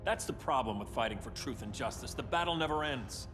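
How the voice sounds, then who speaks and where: A man's voice: mid-range voice, lively intonation, fairly quick, fairly bright tone, natural voice. A man playing a powerful, embittered superhero turned ruthless enforcer in a game cutscene, intense and righteous. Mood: bitter conviction. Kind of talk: dramatic game cutscene acting. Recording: reverberant hall under cinematic music. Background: cinematic music.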